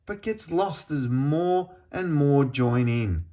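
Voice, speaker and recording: A man reading a children's storybook aloud in an expressive, deliberate storytelling manner with pauses. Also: a little high. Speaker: storybook reader.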